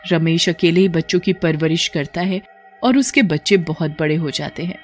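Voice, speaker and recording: A woman narrating a cartoon horror story in a steady, scripted manner. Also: lowish voice, moderate pace, loud music behind the voice.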